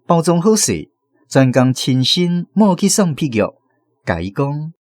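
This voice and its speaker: A man with a Taiwanese accent narrating a scripted radio drama story in an expressive, literary way. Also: a little high, unhurried, no room sound, studio quality. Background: faint music.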